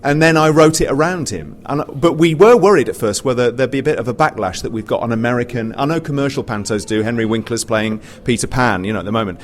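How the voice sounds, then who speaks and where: A man's voice: medium pitch, fast, hardly any pauses. Hesitant, chatty British man with faint background noise. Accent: British English. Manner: hesitant, self-correcting, chatty. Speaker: pantomime writer and panelist. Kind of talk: informal panel discussion. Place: theatre, live panel after a show. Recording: live theatre recording with background noise.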